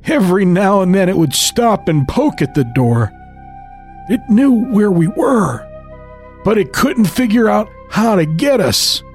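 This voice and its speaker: A man's voice: high-pitched, sing-song, measured pace, a little mellow, faint music behind, voice pushed up. A man voicing a frail, rambling old grandfather who tells a horror story in the first person. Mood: eerie storytelling.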